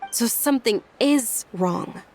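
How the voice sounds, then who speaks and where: An actress playing a polite, thoughtful young noble lady in a video game, speaking in a measured, refined way. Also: a little high, lively intonation, soft background music. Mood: concerned. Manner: refined, measured, formal phrasing.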